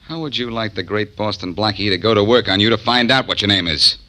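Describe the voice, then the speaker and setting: A man's voice: fairly low pitch, brisk, sharp tone. An American man playing a cocky, wisecracking tough detective in a 1940s radio drama. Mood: cool, taunting. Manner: wisecracking, self-assured. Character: cocky, quick-witted tough amateur detective hero. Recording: vintage 1940s radio recording.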